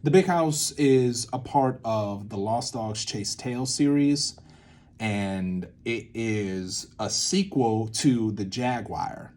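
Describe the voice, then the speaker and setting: A young man explaining in a casual, halting way, thinking aloud and often repeating and checking himself. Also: mid-range voice, darkish tone. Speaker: creator teaching a creative method.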